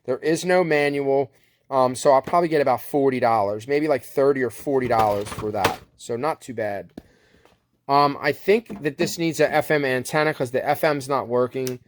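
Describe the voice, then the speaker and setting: A man's voice: mid-range voice, moderate pace, a little hiss or hum. A man chatting casually and unscripted as he shows resale finds, rambling and thinking aloud in an unsure way. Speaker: online reseller showing thrift finds. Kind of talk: casual unscripted show-and-tell chat.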